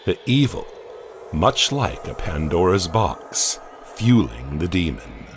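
Deep-voiced guy narrating a horror comic, slow and expressive, faint hiss behind. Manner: slow, deliberate, dramatic.

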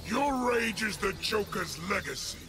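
A man voicing an ancient, raging alien creature in a video game, snarling and grandiose with a gravelly voice. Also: sits high, big pitch swings. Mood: furious, menacing. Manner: slow, menacing, grandiose. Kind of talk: video game villain acting. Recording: loud music behind.

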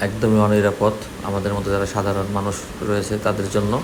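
Male vlogger, Bangladeshi accent, lowish and very monotone, casual on-the-road commentary from a motorbike, clear vehicle noise. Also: fast talker. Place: outdoors on a moving motorbike in city streets.

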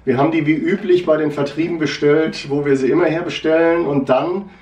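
A middle-aged man with a northern German accent talks shop about new figures, rambling and full of fillers. His voice sits a little high. The mic is set back, so the sound is distant and roomy. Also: steady tone, fairly quick, darkish tone. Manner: chatty, rambling with many fillers and restarts. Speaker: comic shop owner presenting new merchandise. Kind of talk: casual product chat. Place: shop room with posters, indoors.

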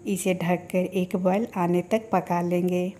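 Low, flat-voiced woman explaining a recipe. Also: measured pace, faint music behind. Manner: steady step-by-step instruction. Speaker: cooking channel host. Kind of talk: calm recipe voice-over.

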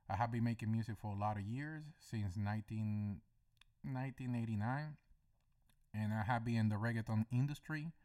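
A man's voice: fairly low pitch, a little mellow. Middle-aged man. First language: Spanish. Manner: loose, rambling explanations with many fillers. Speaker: music producer tutorial host. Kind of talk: screen-recorded software tutorial.

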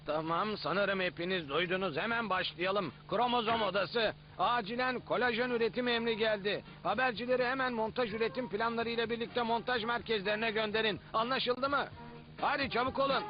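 A man voicing a bossy, excitable cell chief in a dubbed cartoon, with a fussy, commanding, exclamatory manner. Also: high voice, a little fast, close to an everyday voice. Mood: reluctant, then urgent, commanding. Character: bossy, excitable male cell chief running his factory-like cell. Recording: dull lo-fi cartoon soundtrack with music.

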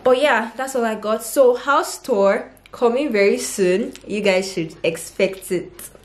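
A woman's voice: fairly high pitch, a little slow, noisy background. A woman in her twenties chatting casually to camera in her apartment, upbeat and rambling with fillers. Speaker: vlogger. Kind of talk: casual vlog chat to camera. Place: small furnished apartment living room. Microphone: camera at arm's length.

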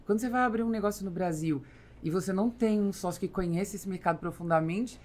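A woman's voice: mid-range voice, quick pace, darkish tone. A woman in her forties with a Brazilian accent, interviewing a guest conversationally as a podcast host. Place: small foam-treated podcast studio. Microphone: close podcast microphone on boom arm.

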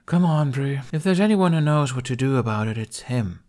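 A bold young Viking warrior woman, voiced by a male narrator, speaks up with assertive command. The pitch is lifted and the delivery lively, in audiobook storytelling. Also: a little fast, lightly acted. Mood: insistent. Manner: strong, assertive.

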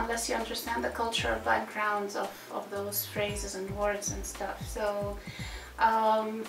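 A woman's voice: a little high, creaky. Woman, middle-aged, Arabic speaker, hesitant and thoughtful, recorded a bit far off in a roomy office. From Egypt. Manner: thoughtful, many fillers and restarts. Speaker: Arabic teacher. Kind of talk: interview answers. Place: office with posters, indoors. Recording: roomy, distant, music behind.

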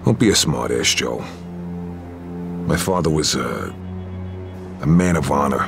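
An actor playing a hard, proud, terse Sicilian-born mobster in a video game. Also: male voice, very deep voice, animated, measured pace, music in the background, heavily acted. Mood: grim. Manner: terse, gruff. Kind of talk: video game cutscene acting.

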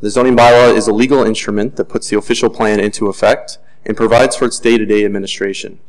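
Man, city planning staff, formal measured reading, lowish voice, council chamber. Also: steady tone, low-quality recording.

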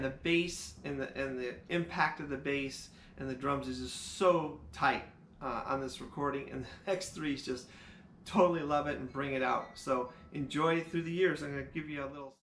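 Guy in his 50s reviewing audio gear, kinda high voice, explains stuff in simple terms. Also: bright, forceful tone, slight background noise. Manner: explanatory, with plain everyday comparisons. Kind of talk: product review talk to camera. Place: living room with acoustic panels, indoors.

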